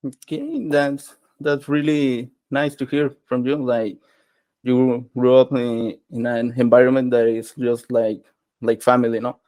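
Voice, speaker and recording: A man's voice: mid-range voice, flat delivery, measured pace, mellow, no echo, rough recording. A man whose first language is Spanish, hosting an interview and asking questions hesitantly, searching for words. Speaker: interviewer, host.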